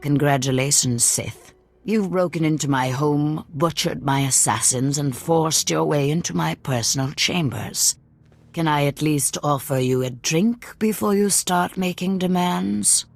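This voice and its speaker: Husky, sardonic older noblewoman. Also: deep voice, unhurried, faint music behind, heavily acted. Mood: sardonic, imperious. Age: middle-aged. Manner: sardonic, world-weary. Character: proud, sardonic middle-aged noblewoman and assassin. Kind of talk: video game voice acting.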